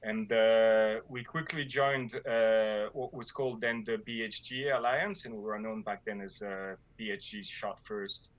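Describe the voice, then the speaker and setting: Man with a French accent, casual interview guest, halting delivery, dry and slightly muffled sound. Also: fairly low pitch, fairly even intonation, dry recording. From France. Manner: hesitant, many fillers and restarts. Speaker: guild leader.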